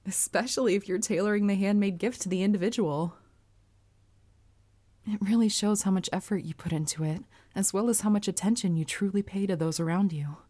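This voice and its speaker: A woman playing a polite, earnest, bashful young anime heroine with a crush, speaking formally and gently gushing in a roleplay audio. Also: mid-range voice, fairly quick, close to an everyday voice. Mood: bashful admiring. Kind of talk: anime character roleplay audio, addressed to listener.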